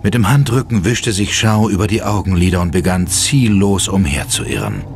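A man's voice: sits low, expressive, moderate pace, creaky, a little hiss or hum. A man giving dramatic scripted narration for a German horror audio drama. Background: music.

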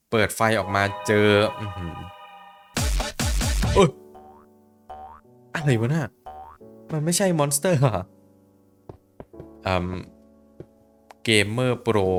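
A young adult man giving casual, excitable reaction commentary on an animation, exclaiming often and speaking in slang. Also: lowish voice.

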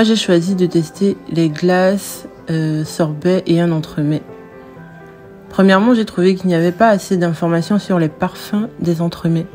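A young woman reading a steady voice-over for her food review. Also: fairly low pitch. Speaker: vlogger.

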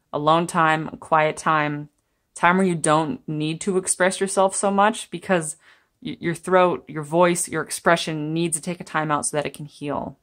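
Woman, low voice, young adult, chatty tarot reader, meandering, gentle. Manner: chatty, meandering, gentle, laughs while talking. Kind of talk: pick-a-card tarot reading. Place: indoors at a table.

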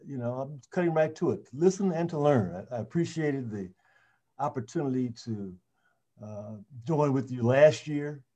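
A man speaking as a city councilmember, giving polite, halting remarks at an online meeting. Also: medium pitch, animated, mellow.

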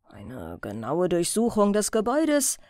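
A woman's voice: lowish voice, wildly swooping pitch, measured pace, a little mellow, no room sound. A woman narrating a crime audiobook as a scripted reading.

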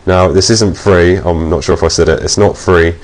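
A man demonstrating software while his voice runs through a pitch-shifting voice changer, rambling with ums. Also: steady tone, normal speed. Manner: rambling, with many ums and restarts. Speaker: software reviewer. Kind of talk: casual screen-recording tutorial.

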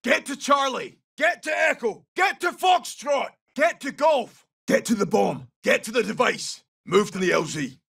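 Scottish soldier for a shooter game, raspy and a bit high for a man, clipped urgent callouts. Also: moderate pace, hardly any pauses, a bit bright, far from the mic, full character voice. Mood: urgent, commanding. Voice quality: husky, gravelly. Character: tough, loyal Scottish special forces soldier. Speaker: video game character voice. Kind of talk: short military callouts.

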